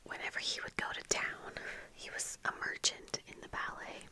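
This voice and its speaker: A woman in her thirties speaking in a breathy whisper, chatting casually and haltingly in ASMR style close to the mic. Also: poor audio. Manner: casual, halting, meandering storytelling. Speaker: ASMR video creator. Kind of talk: whispered ASMR get-ready-with-me chat. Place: small indoor room with bookshelves. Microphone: close furry-covered microphone on desk, earphones in.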